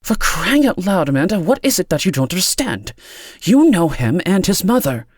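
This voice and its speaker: A stern woman of authority in an audiobook , acted out by a male narrator. The voice is pitched up and close in a dry recording, with a cold, clipped delivery that is impatient and condescending. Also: animated, moderate pace, darkish tone, dry recording. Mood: impatient, frustrated.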